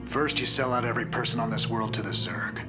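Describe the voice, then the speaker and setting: A male actor voices a rebellious space captain in a game cutscene. He is blunt and sardonic, in a medium-pitched voice. Music plays clearly behind him. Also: fast talker, close to an everyday voice. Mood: indignant, angry. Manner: blunt, sardonic, plain-spoken. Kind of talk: sci-fi game cutscene acting.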